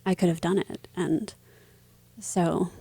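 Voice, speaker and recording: A woman's voice: fairly low pitch, fairly even intonation, takes it slowly, vocal fry, mellow, husky, dry recording. A woman speaking as an interview guest, telling her stories casually with a self-deprecating, lightly giggly manner. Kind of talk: casual conversational storytelling.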